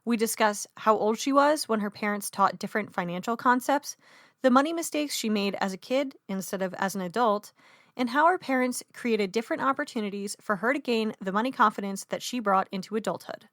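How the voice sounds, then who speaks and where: Female podcast host, higher voice, measured; dry close sound. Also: fairly bright tone, dry recording. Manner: measured, prepared phrasing. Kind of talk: scripted intro and interview questions.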